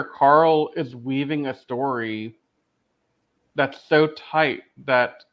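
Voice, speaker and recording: A man speaking emphatically and enthusiastically as a panelist in a casual podcast review discussion. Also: mid-range voice. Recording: dull, lo-fi microphone audio.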